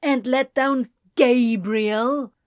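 A woman voicing a volatile young man who taunts and shouts. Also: on the high side, fairly even intonation, very slow, no room sound, studio quality. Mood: taunting. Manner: taunting and loud.

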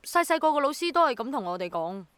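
A woman's voice: high-pitched, very animated, quick pace, fairly bright tone, no room sound. An actress with a Hong Kong Cantonese accent playing a practical, sceptical and easily puzzled young city woman in a radio drama. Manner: hesitant, questioning, matter-of-fact.

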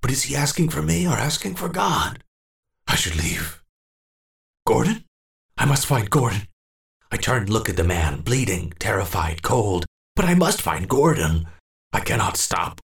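A man's voice: low voice, moderate pace, gravelly, hardly any pauses, fairly bright tone, pitched above the performer's own voice. A man voicing a frightened, confused old man in a horror audiobook, muttering and repeating himself in first person. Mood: uneasy. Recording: roomy, distant echo.

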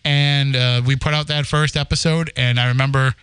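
Chatty man with a smooth clear voice. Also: mid-range voice, little pitch movement, moderate pace, a bit bright, dry recording. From Boston area. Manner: storytelling, chatty with frequent restarts and laughs. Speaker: podcast host. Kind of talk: casual chat.

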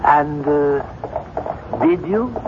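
A very noisy, muffled old radio recording features a solicitous escort. He speaks slowly and politely in a slightly high voice, soothing his companion while gently probing. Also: animated. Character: solicitous, unhurried male escort to a sanitarium. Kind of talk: 1970s radio drama acting.

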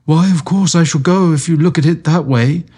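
Guy reading a story puts on a grumpy stingy old client, pitched way up, thin and off from his own voice. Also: normal speed, mellow, slightly rough. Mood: grudging. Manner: peevish, complaining. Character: sullen miserly older man, grumbling and sardonic.

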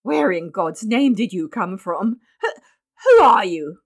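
A woman voicing a frantic, horrified gentleman who has just become a father, spluttering and repeating himself in a storybook reading. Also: sits high, sing-song, measured pace, voice pushed up. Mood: outraged.

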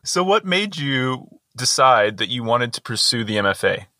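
A man's voice: medium pitch, very animated, normal speed, pushed and bright, no room sound, studio quality. A man asking casual, conversational questions as an interviewer.

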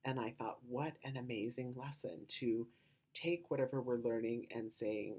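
Low-voiced, husky woman podcaster. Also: moderate pace, darkish tone. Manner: rambling, lots of "you know" and "um" asides. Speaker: podcast host. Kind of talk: casual podcast monologue.

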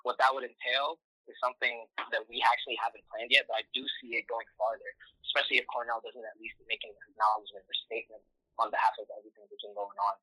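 A man's voice: mid-range voice, flat delivery, fast talker, bright, forceful tone, no echo, rough recording. A young man from Morocco answering interview questions in a casual way, with lots of "like" and "um". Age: young adult. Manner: casual, many "like" and "um" fillers. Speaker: student activist guest.

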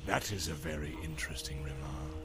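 A man voicing a soft-spoken, sly and evil male orc messenger who sneers in a hissing whisper. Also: rumbling low, fairly quick, music in the background, voice pushed down. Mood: sneering. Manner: sneering, menacing. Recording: loud background hiss.

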